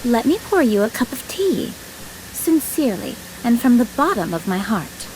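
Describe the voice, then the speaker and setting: A woman's voice: a little high, very animated, normal speed, darkish tone, a little hiss or hum, rough recording. A woman voice-acting a refined, snooty young noblewoman in a video game, genteel and icily polite. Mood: icily sweet. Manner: haughty, genteel, cool.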